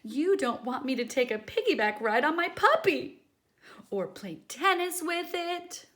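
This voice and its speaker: A woman's voice: high voice, sing-song, a little slow, fairly bright tone, full character voice. A woman voicing a demanding, whiny pigeon given to theatrical outbursts in a children's storybook. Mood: indignant, pouting. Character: demanding, dramatic, tantrum-prone cartoon pigeon. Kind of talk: children's storybook read-aloud.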